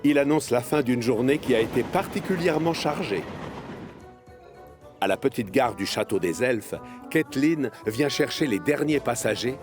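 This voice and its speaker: A man narrating a children's cartoon in a measured, expressive storybook manner. His voice sits at an ordinary middle pitch. Clear cartoon music plays underneath him.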